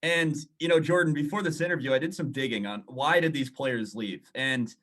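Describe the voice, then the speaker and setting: A young man speaks as a guest analyst in a sports radio interview. His pitch is average for a man as he lays out the news. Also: moderate pace, fairly bright tone.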